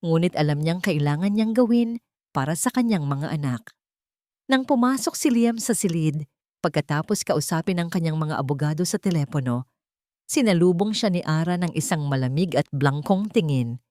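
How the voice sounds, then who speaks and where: A woman narrator tells a dramatic story from a script. Her voice sits low for a woman. The sound is dry, with no room around it. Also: lively intonation, normal speed, a little mellow, no room sound.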